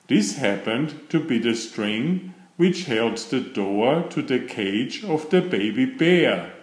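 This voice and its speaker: A man narrates a children's picture book aloud. His delivery is slow and deliberate, with expressive, wide-ranging pitch. The sound is roomy and echoing. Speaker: storybook reader.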